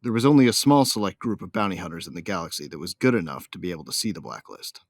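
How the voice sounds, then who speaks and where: A man's voice: sits low, animated, fast, dry recording. A man playing a cocky, hot-tempered bounty hunter who tells his own story in a brash, colloquial, hard-boiled way. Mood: smug, easygoing. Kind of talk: first-person dramatised audiobook narration.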